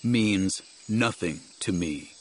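A grim warrior-monk master, acted by a male narrator for an audiobook. He speaks very low, in terse, controlled commands, stern and unyielding. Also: takes it slowly. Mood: cold, resolute.

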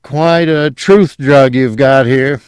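A man's voice: medium pitch, slow pace, smooth, dry recording, studio quality, voice pushed up, close to an everyday voice. Man voicing a grating, drawling, sardonic drugged captive man. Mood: bitter, wry. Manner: drawling, bitter. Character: hostile, sardonic man under a truth drug.

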